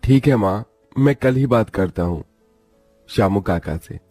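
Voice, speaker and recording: An actor playing a humble, dutiful young son of a poor family in a story, earnest and deferential. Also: male voice, fairly low pitch, lively intonation, measured pace, warm tone, dry recording, faint music behind.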